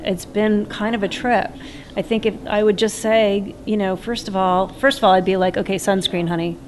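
A woman's voice: medium pitch, fairly even intonation, normal speed, a little hiss or hum. A middle-aged woman reflecting on life with humor, telling a family anecdote. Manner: humorous, warm, storytelling. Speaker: conference interviewee. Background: music.

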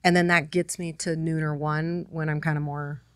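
A woman's voice: deep voice, level tone, moderate pace, clear, resonant tone, no room sound. Chatty woman sharing health routines. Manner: chatty, upbeat, personal stories. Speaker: health guest. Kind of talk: podcast conversation. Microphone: studio mic.

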